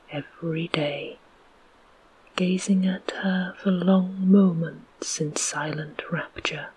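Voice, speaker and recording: Slow breathy whispered storytelling. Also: medium pitch, poor audio. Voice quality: unvoiced whisper. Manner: slow, soft and even. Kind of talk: whispered ASMR story reading.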